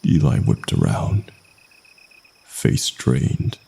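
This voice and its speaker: A man with an extremely low, husky voice narrates a scary story. He speaks slowly and in hushed tones, leaving dramatic pauses. His delivery has a breathy, gravelly grain. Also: huge pitch swings. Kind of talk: scripted first-person horror narration.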